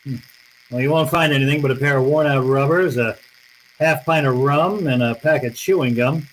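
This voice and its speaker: Man playing hardboiled private eye, sardonic, laconic, medium pitch, vintage radio drama delivery, light background noise. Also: normal speed, close to an everyday voice, low-quality recording. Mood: wry, unruffled. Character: wry, tough, unflappable private detective. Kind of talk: old-time radio drama acting and first-person narration.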